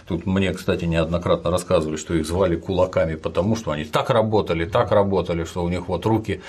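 A man's voice: sits low, steady tone, fast talker, a little mellow, breathy edge. A man interviewing a guest about history, speaking emphatically with sarcastic asides.

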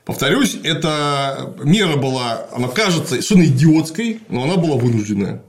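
A man with a mid-range voice that rises and falls a lot as he explains history. He lectures patiently, stopping often to pause and restart. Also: medium pitch, expressive. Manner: lecturing, explanatory, with frequent fillers.

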